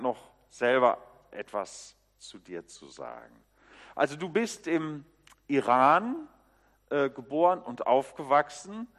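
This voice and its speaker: Halting man speaking in a church hall. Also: a little high. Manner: friendly and halting, with many fillers. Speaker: church elder introducing a new member. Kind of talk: informal address to a congregation.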